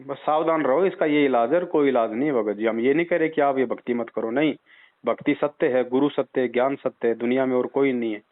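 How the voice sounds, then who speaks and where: Man, tinny phone audio, medium pitch, insistent spiritual advisor, admonishing, rhetorical questions. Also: fairly quick. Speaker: spiritual advisor taking a caller's doubts. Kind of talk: persuasive religious discussion on a phone call. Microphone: phone line. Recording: tinny, band-limited phone call recording.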